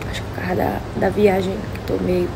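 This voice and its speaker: A woman in her twenties with a Northeastern Brazilian accent and a slightly hoarse voice, chatting casually to her followers on a phone at home. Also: medium pitch, little pitch movement, fairly quick. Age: 20s. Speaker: social media vlogger. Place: indoors at home, several rooms. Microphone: phone at arm's length. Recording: noisy phone recording at home.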